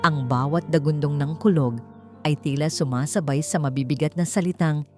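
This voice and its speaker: A woman with a fairly low voice narrates a dramatic audiobook story over music. Her delivery is measured and emotive, moving along in short phrases.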